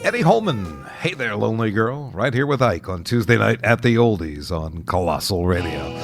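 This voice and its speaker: American guy djing an oldies show, lowish voice, folksy and halting, music playing under him. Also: big pitch swings, gravelly. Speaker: radio DJ and host of an oldies show. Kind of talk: casual music radio presenting, announcing songs and events. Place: radio studio.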